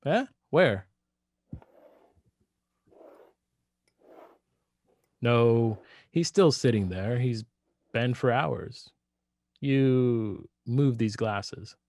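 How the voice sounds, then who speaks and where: Man playing a slow, hesitant, weak-willed husband. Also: medium pitch, lively intonation, darkish tone, dry recording. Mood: uneasy. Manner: hesitant, halting, repeats words. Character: weak, bewildered henpecked husband turning to murder. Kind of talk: radio drama acting.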